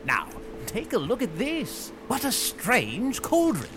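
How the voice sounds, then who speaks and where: Pompous eccentric wizard mentor, high bright and swooping, over heavy score. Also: male voice, huge pitch swings, slow pace, heavily acted. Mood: breezy. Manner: theatrical, pompous, distracted. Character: eccentric flamboyant male wizard mentor, callous and witty. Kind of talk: audio drama acting. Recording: heavy music score behind.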